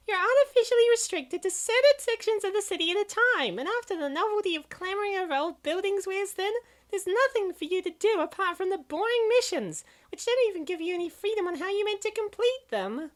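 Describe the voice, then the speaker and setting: A woman's voice: very high voice, animated, brisk. A woman voicing a stubborn, exasperated young female gamer in a comic dub, complaining in long rattled-off runs. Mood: indignant.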